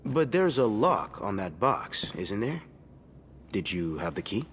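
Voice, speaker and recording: A man's voice: fairly low pitch, very animated, measured pace, fairly bright tone. A male police detective in a narrative game. He is skeptical and methodical, pressing each question pointedly. A slight ambient noise runs behind him. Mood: suspicious, probing. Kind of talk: naturalistic video game acting.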